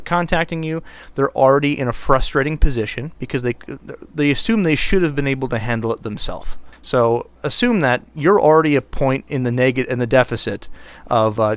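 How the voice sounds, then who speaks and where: A man with a low voice, co-hosting an IT podcast, speaking deliberately with fillers and restarts. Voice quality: low voice, pushed brighter on purpose. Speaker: podcast co-host, IT technician. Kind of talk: casual podcast talk. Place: Minnesota, US.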